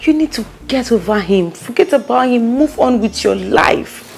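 A woman's voice: fairly high pitch, lively intonation, moderate pace, vocal fry, a little mellow, faint music behind. A woman with a Nigerian accent acting a bossy, loyal young friend in a drama, speaking emphatically with Pidgin slang. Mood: firm, consoling. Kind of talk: naturalistic film drama acting.

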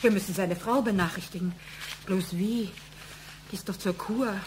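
A fretful, long-serving office secretary acted by a woman from a radio drama. She sounds agitated, with an average pitch, in a roomy recording with a faint hum. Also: moderate pace, darkish tone, natural voice. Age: middle-aged. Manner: agitated, fretting. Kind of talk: German radio play acting.

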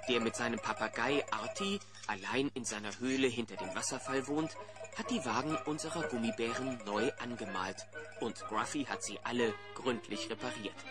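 A man's voice: mid-range voice, normal speed, over loud music, close to an everyday voice. A man playing a chummy narrator, telling a scripted story to young listeners in a children's audio play. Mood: storytelling.

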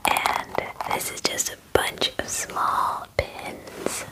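A woman in her twenties whispering softly and breathily in a gentle, casual, chatty ASMR roleplay, close to the microphone. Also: very slow. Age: 20s. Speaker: ASMR roleplayer playing a werewolf spa groomer. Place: small dim room indoors.

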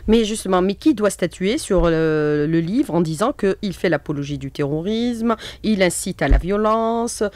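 A woman's voice: lowish voice, animated, moderate pace, few pauses, darkish tone, dry recording. A woman asking probing questions as a radio news interviewer. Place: radio studio.

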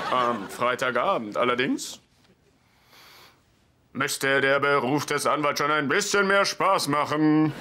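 A man's voice: on the high side, background noise. An actor dubbing a good-natured, jokey young man in a German sitcom. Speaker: dub actor.

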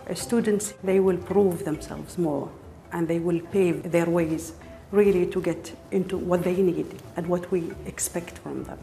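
A woman's voice: lowish voice, fairly even intonation, unhurried, gravelly, warm tone, faint music behind. A woman from Oman giving interview answers for a promotional film.

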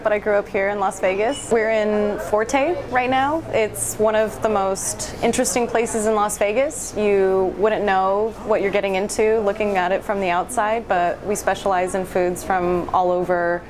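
A woman in her thirties, born in Bulgaria, talking as a restaurant owner in a relaxed interview, with frequent fillers and a conversational flow. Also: medium pitch, moderate pace, hardly any pauses, a crowd in the background. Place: indoors, in front of a hedge backdrop.

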